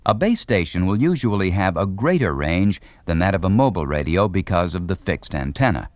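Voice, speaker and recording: A man's voice: low-pitched, big pitch swings, fairly quick, dry recording. A man reading scripted instructional narration with a polished, formal announcer delivery.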